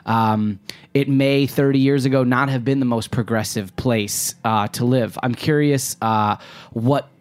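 A man hosting a podcast, asking long, winding interview questions with hesitant pauses and fillers. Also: mid-range voice, steady tone. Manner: hesitant, searching for words, many fillers. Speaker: podcast host.

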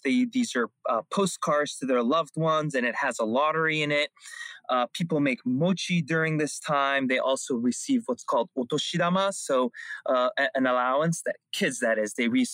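A middle-aged man from Japan speaking as a radio show guest, relaxed, with many ums and uhs. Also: medium pitch, moderate pace, dry recording. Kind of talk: interview conversation.

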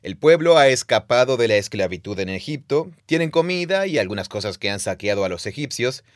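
Man, mid pitch, patient explainer, playful, dry close mic. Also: big pitch swings, fairly quick, no echo. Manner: explains step by step, playful quiz asides. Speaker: podcast co-host explaining the Bible. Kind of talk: casual teaching conversation.

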